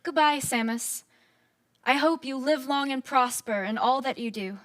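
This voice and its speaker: A woman's voice: sits high, a little slow, fairly bright tone. A woman playing a proud, cool female AI queen in a live sci-fi audio play, speaking in a commanding, declarative way. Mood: regal, firm. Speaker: actor in a live stage audio drama.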